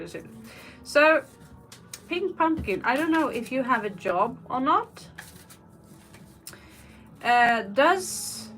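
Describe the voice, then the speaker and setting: A woman gives a live tarot reading at a slow, unhurried pace. She rambles and drifts into personal asides between the cards. Faint music plays underneath her voice. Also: a little high, fairly bright tone, low-quality recording. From Norway. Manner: rambling, personal asides, reads out viewer questions. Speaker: tarot reader. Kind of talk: live tarot reading, chatty answers to viewer questions.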